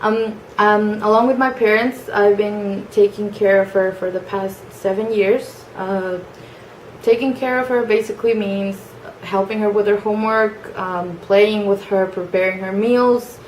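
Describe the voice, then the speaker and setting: Young woman, Mexican accent, Spanish native speaker, soft and hesitant, echoey room. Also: mid-range voice, steady tone, measured pace, a little hiss or hum. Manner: soft-spoken, hesitant with frequent fillers. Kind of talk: casual self-introduction video. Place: family home.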